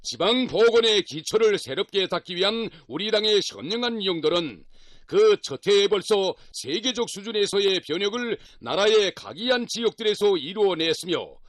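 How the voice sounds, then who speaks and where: A North Korean man with a notably high voice delivers a formal radio news script. His delivery is solemn and declamatory, full of reverence. The sound is very dry, with hardly any room around it. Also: lively intonation, normal speed, fairly bright tone, no room sound.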